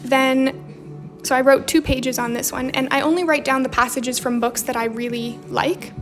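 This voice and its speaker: A young woman with a faint Romanian accent hosts a relaxed livestream. She reads out viewer comments and replies in a chatty way, and her voice sits high with little rise and fall. Soft music plays quietly behind her. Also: moderate pace. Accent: slight Romanian. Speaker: livestream host. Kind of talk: casual livestream chat while journaling. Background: faint background music.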